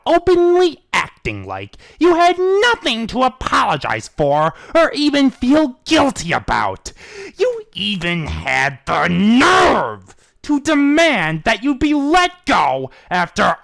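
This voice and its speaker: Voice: medium pitch, gravelly, a bit bright, pitched above the performer's own voice, heavily acted. An amateur reader voicing a stern, angry stallion prince and protective older brother, accusatory and emphatic, in a fan fiction reading. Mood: furious, shouting.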